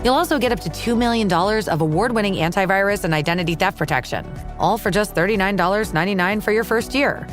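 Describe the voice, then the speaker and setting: A woman's voice: mid-range voice, quick pace, soft background music. A woman reading a scripted commercial as a voice-over.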